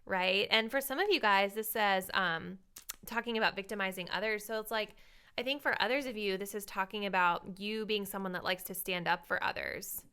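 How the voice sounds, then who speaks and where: Young woman, tarot reader, casual chat, slightly raspy, bright tone. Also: medium pitch, moderate pace. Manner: chatty, many "like"s, thinks aloud. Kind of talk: casual tarot card reading to viewers.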